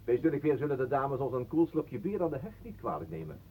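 An actor playing a jovial, intrusive talker in a radio play, flowery, pompous and mock-courteous. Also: male voice, medium pitch, sing-song, fast, warm tone, slightly rough. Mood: chatty, pompous. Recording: muffled, phone-like old radio recording.

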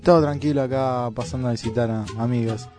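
A man's voice: medium pitch, steady tone, fast, dark tone, soft background music. A man with a Buenos Aires area Argentine accent, chatting casually as a guest in a radio studio. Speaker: studio guest, band member. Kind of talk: casual chat, anecdote.

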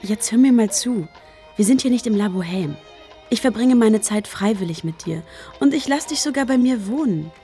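An actress playing a young student who works secretly as an escort, direct and streetwise, in a German radio drama. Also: female voice, fairly high pitch, animated, moderate pace, mellow, faint music behind. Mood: exasperated, caring. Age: young adult.

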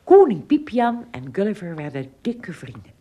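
A woman narrating a scripted adventure story for a radio play, in expressive storyteller style. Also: medium pitch, normal speed.

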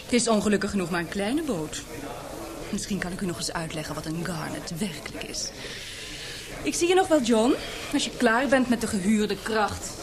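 Actress doing snooty young woman scientist in a dutch radio drama, sarcastic, swoopy pitch, crowd noise behind. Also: expressive, moderate pace, lightly acted. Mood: cutting. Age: young adult. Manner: curt, sarcastic, crisp questioning. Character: haughty, prickly young female scientist. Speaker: actor in a radio play. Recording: radio play with clear crowd murmur.

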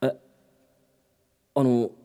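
A man's voice: very slow, mellow. An actor playing a polite, hesitant young male detective deferring to a superior in a radio drama. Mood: eager, hesitant.

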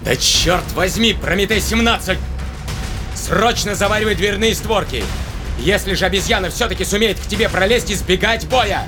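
A man's voice: high voice, moderate pace, music in the background, close to an everyday voice. An actor playing an agitated, commanding man who barks urgent orders to robots in a sci-fi audio drama. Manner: commanding, urgent, clipped imperatives.

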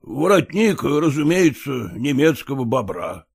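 A man's voice: medium pitch, big pitch swings, unhurried, creaky, husky, no echo, clean studio sound, full character voice. A man doing a stern, curt old general. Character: stern older male general, curt and commanding.

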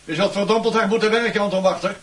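A man's voice: high voice, fairly even intonation, fast talker, far from the mic, lightly acted. A male actor playing a stern, scornful schoolteacher who barks sarcastically at his class in a Dutch radio play. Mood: scornful, commanding. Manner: barking, sarcastic.

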